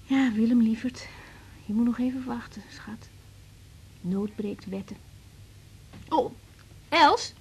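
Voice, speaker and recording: An actress playing a young doctor in a radio drama, brisk, reassuring and kind. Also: female voice, on the high side. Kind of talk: naturalistic radio drama acting. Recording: noisy 1980s radio drama recording.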